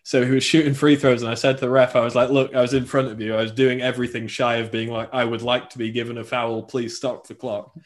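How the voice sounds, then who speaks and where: A man's voice: mid-range voice, flat delivery, fast talker, few pauses. A man hosting a casual sports podcast, telling anecdotes and explaining details in a joking, conversational way. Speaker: podcast host.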